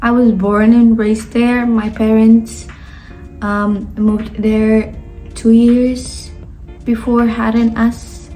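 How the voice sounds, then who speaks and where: A young woman from Spain talks her way through a casual sit-down vlog, answering viewer questions and often stopping to correct herself. Her voice is mellow and sits a little high, with a flat, even melody and an unhurried pace. Faint music plays underneath. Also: low-quality recording. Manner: chatty, rambling with many fillers and self-corrections. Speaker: vlogger. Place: indoors at her sister's home.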